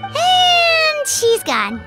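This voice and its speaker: Tiny, very squeaky childlike creature. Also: female voice, wildly swooping pitch, extremely slow, loud music behind the voice, exaggerated voice. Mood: eager. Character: tiny, childlike, inquisitive female floating companion creature. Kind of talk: video game voice acting.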